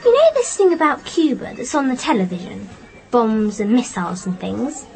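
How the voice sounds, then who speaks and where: A woman's voice: fairly high pitch, big pitch swings, moderate pace, creaky, a little mellow, rough recording. A young female performer in a radio drama playing an earnest, frank little English schoolgirl. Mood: earnest, puzzled.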